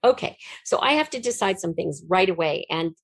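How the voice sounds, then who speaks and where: A woman's voice: fairly low pitch, expressive, fairly quick, no room sound. A woman speaking as an interview guest, explaining things conversationally like a storyteller thinking aloud. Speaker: interview guest, fiction writer.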